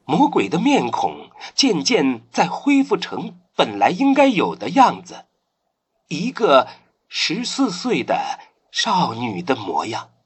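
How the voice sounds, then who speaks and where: Slow, breathy, solemn male narrator. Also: fairly high pitch, wildly swooping pitch. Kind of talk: scripted dramatic narration.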